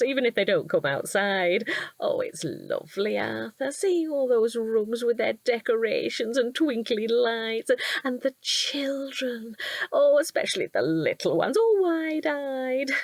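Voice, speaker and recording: An actress with a British accent playing a chatty, kindly, trusting wife of a police detective in an audio drama. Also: female voice, a little high, measured pace, no echo. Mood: cheerful, gushing. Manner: chatty, gushing, expressive. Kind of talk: naturalistic audio drama acting.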